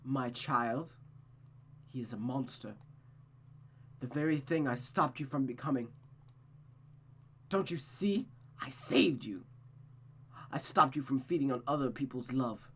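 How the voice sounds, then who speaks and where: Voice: medium pitch, fairly bright tone, husky. A performer voicing a possessive, controlling mother spirit in a visual novel, commanding and dramatic, with music behind. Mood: smug, insistent. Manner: commanding, dramatic, drawn-out outbursts.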